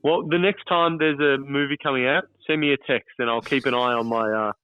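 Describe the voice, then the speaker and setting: A man's voice: mid-range voice, fairly even intonation, a little fast, sharp tone, clear, resonant tone, no echo, soft background music. A man chatting casually as a guest on a podcast over a phone call. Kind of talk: casual chat.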